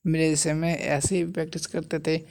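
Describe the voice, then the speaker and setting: A man's voice: fairly high pitch, little pitch movement, moderate pace, vocal fry, darkish tone. A man voicing a proud, scolding old martial arts master spirit with a boastful, condescending manner in a story recap. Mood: irritated.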